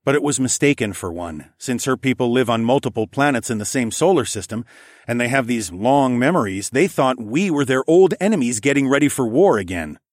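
A man's voice: medium pitch, animated, fairly quick, no echo, clean studio sound, natural voice. A man voicing an earnest, protective young male hero in an audiobook story. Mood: hesitant, explaining.

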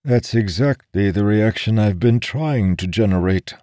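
A man playing a stubborn, self-assured male warrior in a fantasy audiobook, speaking in a grave, formal, measured way. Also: lowish voice, dark tone, no room sound, clean studio sound, voice pushed up, close to an everyday voice. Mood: unyielding.

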